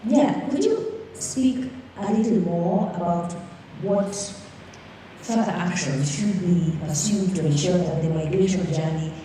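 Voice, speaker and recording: A woman moderating a panel and asking questions in a measured, conversational way. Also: lowish voice, warm tone, a little hiss or hum. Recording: distant voice in an echoey hall.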